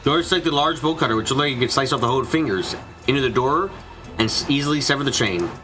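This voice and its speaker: A man's voice: mid-range voice, lively intonation, fast. A man reading dramatic scripted narration for a visual novel fan dub, now and then stumbling over the lines. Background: background music.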